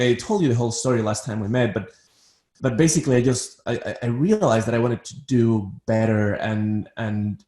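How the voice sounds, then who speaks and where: A man's voice: medium pitch, darkish tone, reverberant. A man from Ecuador who works as a psychiatrist, talking as an interview guest and often repeating words as he starts a sentence. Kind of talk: interview conversation.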